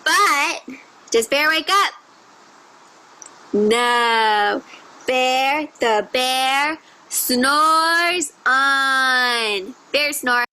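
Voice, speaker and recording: A woman's voice: sits high, sharp tone, smooth, rough recording. A woman reading a picture book aloud to young children, with exaggerated storytelling and simple questions to her listeners. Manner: exaggerated, drawn-out storytelling delivery with child-directed asides.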